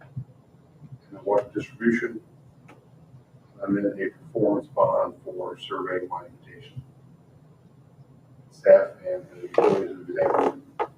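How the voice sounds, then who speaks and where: An American man giving a formal staff report to a council, halting and matter-of-fact, in a meeting room. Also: fairly low pitch. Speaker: development director presenting to council. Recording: roomy, distant, muffled, faint noise.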